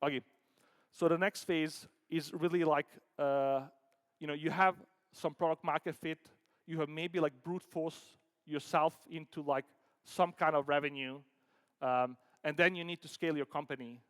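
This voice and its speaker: A man from Denmark giving an informal conference talk as a startup founder, halting and conversational, peppering sentences with filler words like "like" and "you know". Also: a little high, fairly even intonation, clear, resonant tone. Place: conference hall stage. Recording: echoey hall with long reverb.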